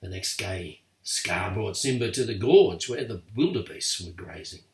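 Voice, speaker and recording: Older man, lively storybook reader. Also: fairly low pitch, measured pace. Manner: lively, expressive read-aloud. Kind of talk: bedtime story reading. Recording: echoey room, far from mic.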